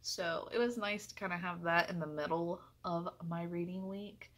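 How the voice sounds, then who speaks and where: A woman's voice: fairly low pitch, fairly even intonation, moderate pace, fairly bright tone. A woman giving a casual, chatty book review, effusive and emphatic, often repeating phrases for emphasis.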